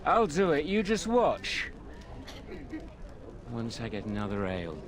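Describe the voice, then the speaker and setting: A man's voice: mid-range voice, very animated, unhurried, crowd noise. A man playing a drunken, rebellious tavern rabble-rouser in a video game, rambling and slurring as he boasts. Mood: drunken defiance.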